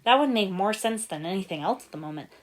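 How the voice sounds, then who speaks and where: A woman voicing a brisk, no-nonsense young female ninja in a story, curt and businesslike. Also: medium pitch, expressive, lightly acted. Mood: curt, busy.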